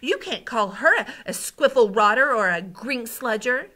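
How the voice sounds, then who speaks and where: A woman voicing an indignant, excitable and determined young girl from a storybook. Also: a little high, normal speed, voice pushed up. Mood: angry, aghast. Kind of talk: storybook character read aloud.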